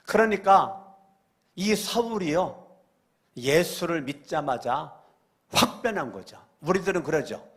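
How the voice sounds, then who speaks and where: A male pastor preaching a church sermon, repeating phrases and asking the congregation questions, moving between loud exclamations and quiet asides. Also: a little high, animated, slow pace, pauses often. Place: church sanctuary during a weekday service.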